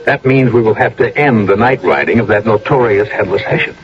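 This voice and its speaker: A man's voice: lowish voice, fairly quick, natural voice. An actor playing an earnest, intelligent major in a radio drama, deferential to his general, formal and a little hesitant. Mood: concerned, questioning. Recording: dull, noisy old radio recording.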